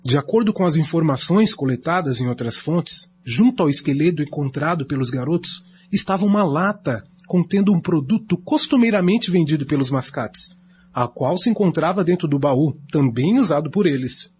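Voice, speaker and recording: An actor playing a courteous, methodical researcher in a radio drama, speaking formally with a Brazilian accent. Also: male voice, on the high side, lively intonation, moderate pace, darkish tone, natural voice. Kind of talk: scripted radio drama acting. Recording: dull lo-fi audio with faint hum.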